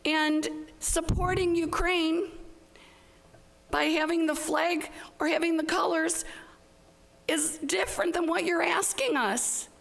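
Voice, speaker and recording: A woman with a notably high voice and plenty of creak in it. She speaks as a member of the public at a council meeting, in a plain, halting way. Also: steady tone, a bit bright. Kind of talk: public comment speech, partly read out.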